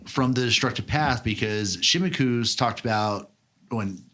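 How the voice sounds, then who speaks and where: A man's voice: medium pitch, measured pace, a bit bright. A man co-hosting a casual comedic podcast, talking in a rambling, slangy, profane way. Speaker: podcast co-host.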